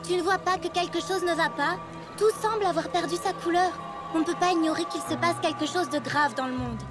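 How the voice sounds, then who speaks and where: Earnest young cartoon girl, high voice, expressive, music under it. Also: fairly quick. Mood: determined. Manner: expressive, emphatic. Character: earnest, determined young girl, leader among friends. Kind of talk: French animated series voice acting.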